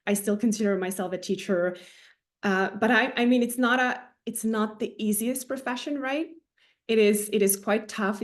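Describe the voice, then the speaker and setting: A woman from Finland in her thirties, a native Finnish speaker, talking as a podcast guest in an enthusiastic, warm way with some restarts. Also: mid-range voice. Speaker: podcast guest, learning designer. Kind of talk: casual interview talk.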